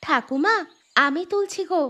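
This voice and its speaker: A woman voicing a poor, gentle, worried young village mother in a cartoon story. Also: very high voice, normal speed. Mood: pleading. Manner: pleading, caring.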